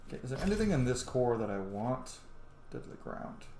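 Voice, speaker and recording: A man's voice: mid-range voice, big pitch swings, a little fast, mellow. Male let's play host, casual commentary, echoey room sound, faint background noise. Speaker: gaming YouTuber, host.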